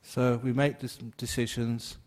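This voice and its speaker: An older English-born Buddhist monk giving a chatty, joking Dharma talk, answering questions from the floor and laughing often. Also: male voice, mid-range voice, flat delivery, a little slow, a little mellow.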